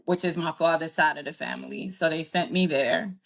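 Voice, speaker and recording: A woman's voice: low-pitched, a little fast, vocal fry. A woman in her early forties, born in Jamaica, chatting as an interview guest, rambling and correcting herself as she goes. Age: early 40s. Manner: rambling, self-correcting, laughs often. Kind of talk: casual interview chat.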